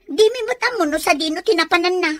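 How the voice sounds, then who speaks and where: A woman's voice: very high voice, animated, fast, fairly bright tone, no room sound. A woman playing a bossy, resentful older sister in an Ilocano radio drama, scolding sharply with an Ilocano accent.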